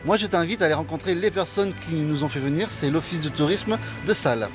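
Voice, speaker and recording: Male presenter, somewhat high pitch, conversational links over clear background music. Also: fast. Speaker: studio presenter.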